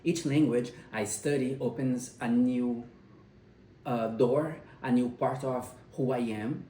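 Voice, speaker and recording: A young man whose first language is Portuguese gives a persuasive class speech at home, speaking haltingly with pauses and restarts. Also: mid-range voice, fairly even intonation, warm tone, a little hiss or hum. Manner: hesitant, with frequent pauses and restarts. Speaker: college student giving a speech. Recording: roomy sound, mic at a distance.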